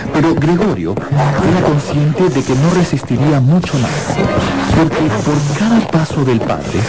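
A man's voice: fairly high pitch, poor audio. A man narrating a radio drama in a theatrical, suspenseful style. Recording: radio production with loud music bed.

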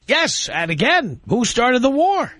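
A man's voice: very high-pitched, huge pitch swings, unhurried, fairly bright tone, no echo. A man hosting a political call-in radio show, blunt and lecturing, at times exasperated with callers. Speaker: radio talk show host.

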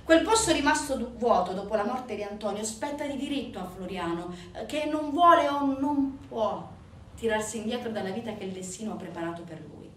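A woman, a stage actress, narrating a theatrical monologue in a dramatic, emphatic way with deliberate pauses. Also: fairly high pitch, animated. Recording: roomy, distant theatre recording, background noise.

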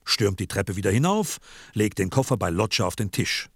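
A man narrating a radio drama as a vivid storyteller in scripted, measured prose. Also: on the low side, sing-song, breathy edge, no room sound, clean studio sound, exaggerated voice. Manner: vivid, present-tense storytelling.

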